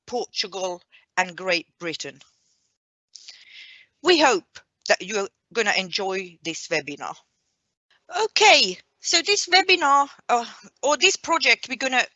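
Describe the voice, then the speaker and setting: A woman presenting a webinar as its host and coordinator, speaking in a deliberate, explanatory way. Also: medium pitch, pushed and bright, no room sound. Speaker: project coordinator hosting a webinar.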